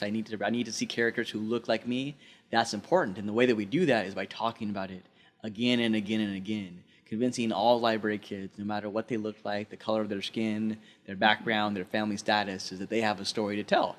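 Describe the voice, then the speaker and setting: A man's voice: on the low side, a bit bright. A man, a librarian, speaking earnestly in a podcast interview and repeating phrases for emphasis.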